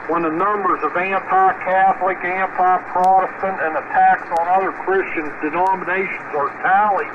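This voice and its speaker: Guy reading commentary on ham radio, kinda high voice, thin narrow sound w lots of static. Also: little pitch movement, moderate pace, few pauses. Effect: shortwave radio. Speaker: ham radio net speaker. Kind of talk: reading a political commentary aloud. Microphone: radio transmitter microphone. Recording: noisy narrowband shortwave ham radio transmission.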